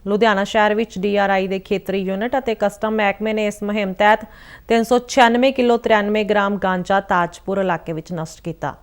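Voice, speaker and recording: A woman reading a regional television news bulletin in a steady, formal way. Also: medium pitch, moderate pace. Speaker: news reader.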